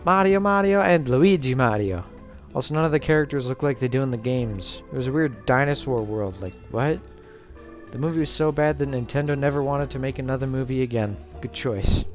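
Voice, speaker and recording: A man's voice: mid-range voice, lively intonation, brisk. A man giving casual scripted commentary in a sarcastic, informal manner. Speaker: host of a video essay series. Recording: background music under voice. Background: music.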